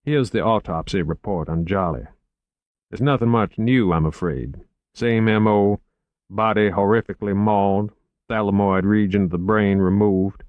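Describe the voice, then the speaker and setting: Casting: a composed, cultured agent, read by a male narrator, low, slow and even, dry close sound. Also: dry recording, phone-quality sound, natural voice. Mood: calm. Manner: calm, measured, unhurried.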